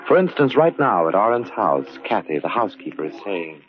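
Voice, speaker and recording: Music sounds behind a brooding horror narrator. The man's voice is low and swooping, portentous and theatrical, on a muffled old radio recording. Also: sing-song, a little fast. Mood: ominous. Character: ominous, philosophizing male narrator of macabre tales.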